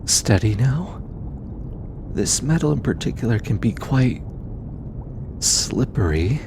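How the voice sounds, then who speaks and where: A gentle, flustered alien scientist played by a young man speaks close and personal to the listener in an audio roleplay. The delivery is slow and hesitant, with stammers and an animated, swinging melody. A clear rumbling noise sits behind the voice. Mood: gentle, guiding. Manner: hesitant, stammering, soft-spoken.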